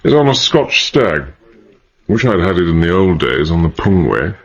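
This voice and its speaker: Husky, blunt British country squire. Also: male voice, deep voice, big pitch swings, normal speed, highs cut off, exaggerated voice. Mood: relaxed, modest. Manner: gruff, blunt, old-fashioned idiom. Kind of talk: radio drama acting.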